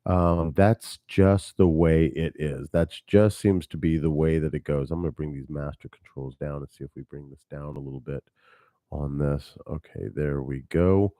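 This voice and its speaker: Low-voiced man hosting podcast. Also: steady tone, normal speed, dark tone, no echo, clean studio sound. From Texas. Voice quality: nasal at times. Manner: rambling, chatty, talks to viewers. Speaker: podcast host. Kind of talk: live podcast chat. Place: home studio. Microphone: studio mic on a mixing board.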